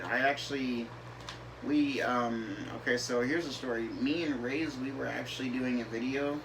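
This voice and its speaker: A young man from the northern United States talking casually over gameplay, rambling with many fillers and restarts. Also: medium pitch. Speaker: gaming YouTuber. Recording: game engine noise behind.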